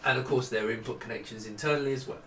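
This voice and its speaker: A man's voice: medium pitch, fairly even intonation, rapid-fire, bright, forceful tone. A middle-aged man giving an informal, unscripted product walkthrough, explaining as he goes and often breaking off to rephrase. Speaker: presenter demonstrating hardware prototypes. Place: small indoor workroom with desk. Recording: echoey room, distant mic, faint noise.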